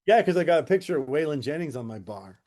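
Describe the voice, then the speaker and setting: A man's voice: a little high, very animated, fast, dark tone. Sardonic grumbling man, podcast chat. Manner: sardonic, grumbling, dry humor. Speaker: podcast co-host. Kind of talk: casual profane podcast chat.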